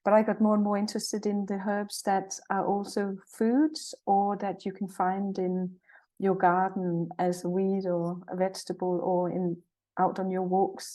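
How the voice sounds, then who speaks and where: A woman in her sixties with a Danish accent, speaking as an interview guest. Her voice is mellow and soft-edged. She talks in a friendly, cheerful way, thinking aloud as she goes. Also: medium pitch, poor audio. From Jutland, Denmark. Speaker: guest, naturopath and herbalist. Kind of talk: conversational interview.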